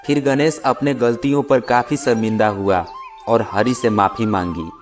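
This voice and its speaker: A man with a mid-pitched voice tells a children's story. His delivery is scripted narration for a moral tale. Light music plays behind him. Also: steady tone, moderate pace. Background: background music.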